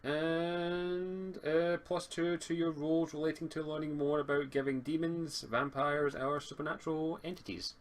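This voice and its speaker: A man's voice: fairly high pitch, flat delivery, moderate pace, few pauses. A man acting as game master and host, casually explaining tabletop game rules. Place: online group game session, streamed. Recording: noticeable background noise.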